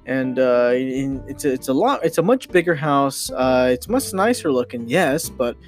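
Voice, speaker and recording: A young man hosting a solo podcast, rambling excitably with casual slang and frequent stumbles and restarts. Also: mid-range voice, moderate pace, faint music behind. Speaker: podcast host.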